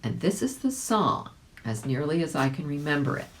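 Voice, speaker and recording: A woman's voice: low-pitched, big pitch swings, normal speed. A woman reading aloud as a volunteer, narrating a children's storybook expressively.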